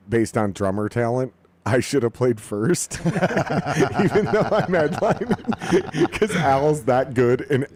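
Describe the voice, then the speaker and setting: A man hosting a casual podcast at a steady middle pitch, heavy with profanity and self-mocking humor. His voice is close and dry on the mic, with no room around it. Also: no room sound. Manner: profane, animated, self-deprecating jokes. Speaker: podcast host.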